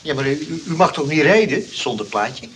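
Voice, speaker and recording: A man's voice: on the high side, animated, moderate pace, slight background noise, close to an everyday voice. An actor playing an earnest, fussy male engineer and amateur scientist in a radio comedy, speaking insistently. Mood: incredulous. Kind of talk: radio comedy drama acting.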